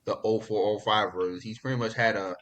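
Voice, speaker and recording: A man hosting a podcast in casual pop culture chat, talking in an excitable, slangy, profane way. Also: fairly low pitch, fast. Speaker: podcast host.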